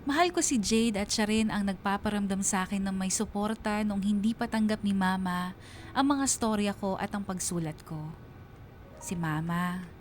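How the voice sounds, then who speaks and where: A woman's voice: medium pitch, lightly acted. A woman playing a reflective, bereaved young woman narrating her own love story in a radio drama. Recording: music behind the voice.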